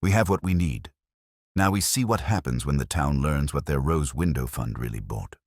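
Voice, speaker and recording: A man voicing a courteous, incisive police inspector in a mystery story, measured and decisive. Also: a bass voice, expressive, no echo, clean studio sound. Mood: firm, decisive.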